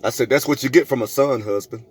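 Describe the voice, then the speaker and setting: A middle-aged man speaks as a vlogger recounting personal stories. His delivery is emphatic and preachy, with phrases repeated for weight. He re-enacts dialogue as he goes, in a medium-pitched voice. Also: fast, husky. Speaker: vlogger telling a personal story. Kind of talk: animated storytelling to camera, re-enacting arguments.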